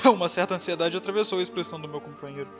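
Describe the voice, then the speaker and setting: A man's voice: high-pitched, racing, a bit bright, highs cut off. A man with a Brazilian accent giving a scripted, dramatic audiobook narration. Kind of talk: scripted dramatic story narration with character voices. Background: music.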